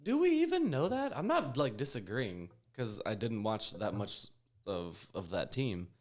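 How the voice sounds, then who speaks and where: Animated low-voiced man hosting a podcast. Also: unhurried. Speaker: sports podcast co-host. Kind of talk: casual banter. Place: recorded in person in a shared room.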